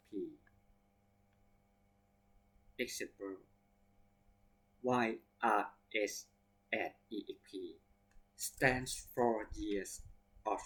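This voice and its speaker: Male English teacher, Thai accent, careful word-by-word delivery that spells out letters, very slow pace. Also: fairly low pitch, fairly bright tone, slightly rough, rough recording. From Thailand. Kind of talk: reading vocabulary examples aloud.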